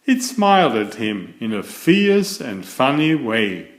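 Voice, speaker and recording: Slow, lilting male storyteller in echoey room. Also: mid-range voice, big pitch swings. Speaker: storyteller, children's author and channel host. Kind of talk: read-aloud children's bedtime story narration.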